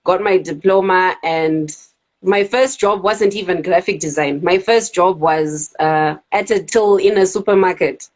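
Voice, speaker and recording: A Zimbabwean woman in her thirties, a club DJ chatting casually as an interview guest, animated and quick to laugh. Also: on the low side.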